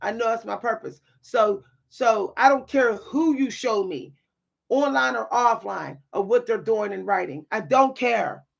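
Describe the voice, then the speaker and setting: Bold deep-voiced woman with a gravelly edge. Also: measured pace, lo-fi sound. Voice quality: deep, at times loud voice. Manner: bold, sassy, dry humor, repeats phrases for emphasis. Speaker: spiritual content creator and writer. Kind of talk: motivational monologue to camera.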